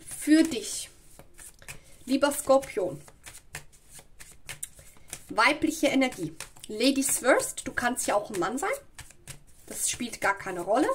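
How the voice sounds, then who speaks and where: A woman with a slight cold giving a spoken tarot reading at home, explaining slowly and often checking in with "ja" and "okay". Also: a little high, animated, fairly bright tone, a little hiss or hum. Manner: slow, searching and explanatory, with frequent "ja" and "okay". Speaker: tarot reader. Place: home room with a tarot table.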